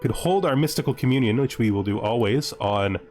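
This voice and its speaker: A man's voice: mid-range voice, lively intonation, brisk, a little mellow, soft background music. A man hosting a gaming video, thinking aloud in casual commentary and playfully gloating with in-character asides.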